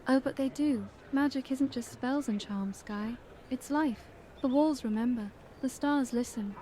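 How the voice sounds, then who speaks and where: An actress playing a gentle teenage witch in an audio drama, dreamy, serene and whimsical. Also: high-pitched, unhurried.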